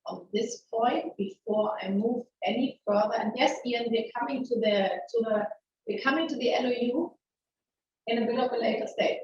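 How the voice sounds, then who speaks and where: A middle-aged woman with a German accent gives a frank, lively webinar presentation, often restarting sentences and asking rhetorical questions. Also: on the high side, moderate pace. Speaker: webinar presenter, maritime lawyer. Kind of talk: conversational legal webinar presentation. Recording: very echoey, distant, muffled webinar audio.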